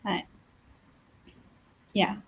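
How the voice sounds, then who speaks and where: A woman's voice: very slow, a little hiss or hum. Thoughtful woman designer, Japanese native speaker. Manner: thoughtful, modest, gentle laughs. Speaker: knitwear designer, guest. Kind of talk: casual interview answers. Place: yarn shop with a small gathering.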